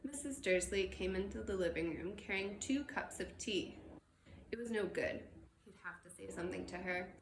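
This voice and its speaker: A woman giving an expressive read-aloud narration of a children's novel. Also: mid-range voice, normal speed, vocal fry. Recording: roomy echo with faint background noise.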